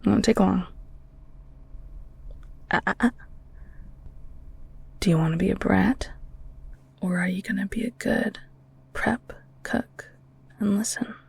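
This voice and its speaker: Woman playing a husky, creaky-voiced flirty ghoul chef. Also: mid-range voice, exaggerated voice. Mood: teasing, bossy. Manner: soft, breathy, halting, teasing. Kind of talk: intimate audio roleplay, spoken to the listener.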